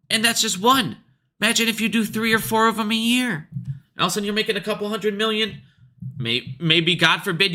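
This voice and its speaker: A man's voice: high-pitched, pushed and bright. A man giving a casual, opinionated business monologue like an investor commentator, blunt, emphatic and profane, repeating his points to drive them home.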